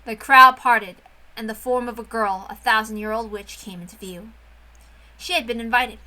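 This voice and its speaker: A woman narrating a visual novel as an amateur read-aloud, expressive and informal. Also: a little high, normal speed, rough recording. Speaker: narrator and reader.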